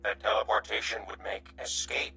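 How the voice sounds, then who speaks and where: An actor playing a timid, polite alien refugee in a radio drama, speaking in formal, stilted sentences with a breathy, often near-whispered voice. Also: male voice, on the low side, monotone, brisk, pushed and bright, full character voice. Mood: wary, sorrowful. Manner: formal, precise, stilted speech.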